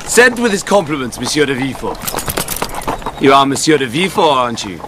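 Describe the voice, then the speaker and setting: An actor playing a deferential coachman in a radio drama, chatting with quick familiarity. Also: mid-range voice, very animated, background noise, lightly acted. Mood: chirpy deference.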